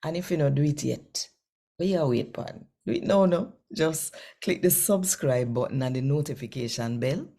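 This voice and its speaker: A woman's voice: low-pitched, animated, moderate pace. A woman with a Jamaican accent, reading scripture aloud and chatting to her viewers in a folksy, conversational way. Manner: warm, folksy, conversational Creole phrasing. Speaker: scripture reader. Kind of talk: daily scripture reading and casual address.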